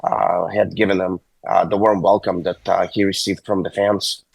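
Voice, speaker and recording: A hoarse, sick man calls in from a hospital bed, his voice low and gravelly with a husky edge. He talks haltingly, full of fillers and restarts, and the sound is dry and close. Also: little pitch movement, no room sound, rough recording. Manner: halting, many uhs and restarts. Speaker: hockey agent guest. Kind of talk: phone-in interview. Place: hospital room. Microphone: phone call.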